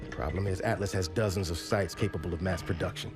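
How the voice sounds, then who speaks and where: Male actor as military intel analyst, brisk pace, matter-of-fact, serious, game briefing, music behind. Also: sits low, fairly even intonation, close to an everyday voice. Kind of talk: dramatic game briefing dialogue.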